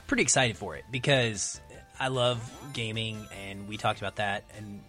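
A man's voice: lowish voice, steady tone, normal speed, pushed and bright, soft background music. A man chatting casually and enthusiastically as a guest on a podcast. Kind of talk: casual chat.

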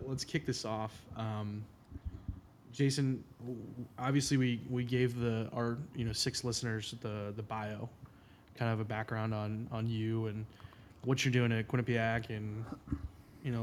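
A man's voice: mid-range voice, darkish tone, slight background noise. Joking young male podcast host. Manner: joking, loose, many fillers and restarts. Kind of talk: casual podcast hosting.

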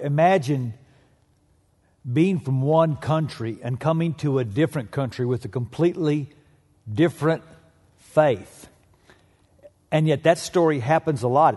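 A man's voice: medium pitch, darkish tone, in a large hall. A man preaching a sermon, telling a personal story in a conversational style. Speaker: pastor.

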